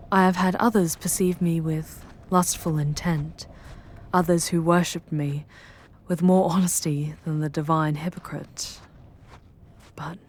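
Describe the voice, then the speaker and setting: A charming female demon , acted by a woman, guides a wanderer in an ASMR fantasy roleplay. Her low voice moves slowly and intimately, proud but tender, often softening to a breathy whisper. Faint ambient noise sits behind her. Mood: touched, tender.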